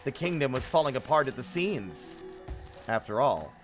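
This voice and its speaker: A man's voice: mid-range voice, big pitch swings, normal speed, faint music behind. A man giving casual live game commentary as a streamer, musing and sometimes sweary.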